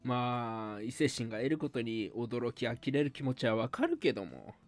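Man as dry, resigned young friend, mid pitch. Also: big pitch swings, moderate pace, faint music behind, natural voice. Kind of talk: amateur radio drama dialogue.

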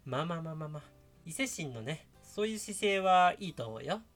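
A man plays a brash young friend in a comic radio drama. He jeers in a fairly high, bouncy voice, with faint music in the background. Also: very animated, a little fast, a bit bright. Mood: mocking, amused.

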